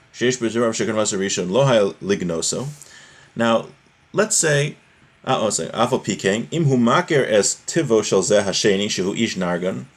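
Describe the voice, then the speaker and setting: A man giving an informal religious lesson, reading from a source text and explaining it conversationally with everyday examples. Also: fairly low pitch. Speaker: religious teacher giving a class.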